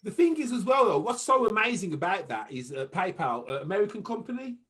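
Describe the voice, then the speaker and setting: A woman hosting a casual interview, enthusiastic and quick to exclaim. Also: medium pitch, big pitch swings, fairly bright tone, husky. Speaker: host.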